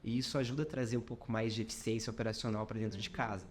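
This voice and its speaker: A man's voice: lowish voice, fairly even intonation, very fast. Reflective Minas Gerais-accented man. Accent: Minas Gerais Brazilian Portuguese. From Brazil. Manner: reflective, many fillers, talks in short clauses. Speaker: company founder, podcast guest. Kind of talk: conversational podcast interview.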